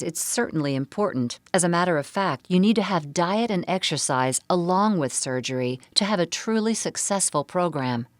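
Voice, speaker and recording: Female narrator for a medical seminar. Notably low register, dry recording, measured and explanatory. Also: no echo. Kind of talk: scripted narration of medical presentation.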